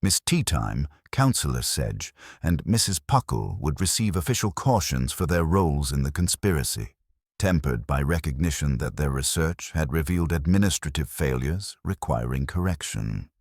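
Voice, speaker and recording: Very deep-voiced male narrator. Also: very animated, no echo, studio quality. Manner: formal, measured, wordy delivery. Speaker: narrator of a detective story. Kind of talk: scripted audiobook-style narration.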